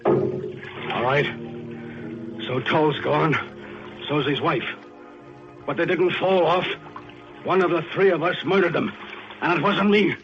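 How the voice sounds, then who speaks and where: An actor playing a suspicious shipwreck survivor with a pointed, insinuating manner in an old-time radio drama. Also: male voice, on the high side, takes it slowly, long pauses, music in the background. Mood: accusing. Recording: muffled old radio recording.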